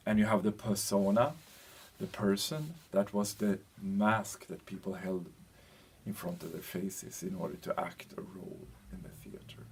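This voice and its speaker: A European man giving an informal explanatory lecture as a teacher, speaking in halting phrases with pauses and a husky voice. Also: lowish voice, darkish tone, slight background noise.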